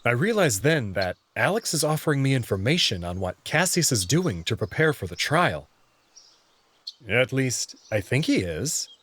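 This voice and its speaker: A man's voice: medium pitch, sing-song, normal speed, a bit bright, slight background noise. A man reading scripted first-person narration for a visual novel.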